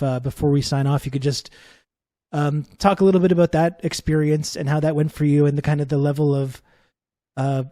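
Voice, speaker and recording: Flat-voiced young man, casual host. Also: mid-range voice, dry recording, studio quality. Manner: casual, halting, many fillers like "like" and "you know". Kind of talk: political interview.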